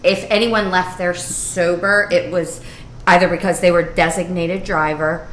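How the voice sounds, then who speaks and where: A woman's voice: lowish voice, fairly even intonation, measured pace, a bit bright, rough recording. A woman co-hosting a casual podcast, joking and teasing her partner as they chat. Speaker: podcast co-host.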